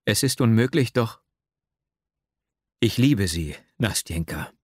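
A man's voice: on the low side, lively intonation, takes it slowly, breathy edge, dry recording, clean studio sound, exaggerated voice. A man playing an earnest, lovesick young man in an audiobook, agitated, self-doubting and urgent. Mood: confessional. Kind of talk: dramatic literary audiobook reading.

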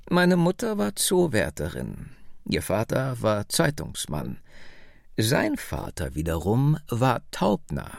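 A man reading the part of a very old woman, close to a hundred, who tells her story slowly and with gentle insistence. The voice sits higher, with a gravelly edge and lively rises and falls. It is recorded dry and close in an audiobook. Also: dry recording, exaggerated voice. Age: older. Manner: slow, measured, gently insistent. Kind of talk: audiobook dialogue.

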